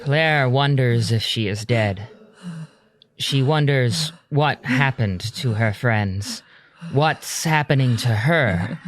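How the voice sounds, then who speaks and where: A man giving dramatic scripted narration as a storyteller, ominous and deliberate, with pauses. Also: mid-range voice. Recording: loud music under the voice.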